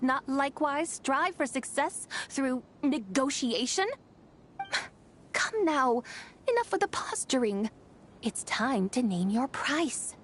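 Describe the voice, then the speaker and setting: Haughty, high-voiced female spirit. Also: a little hiss or hum. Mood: sly, playful. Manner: theatrical, archaic, teasing formal speech. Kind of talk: fantasy game cutscene acting. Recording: music behind the voice.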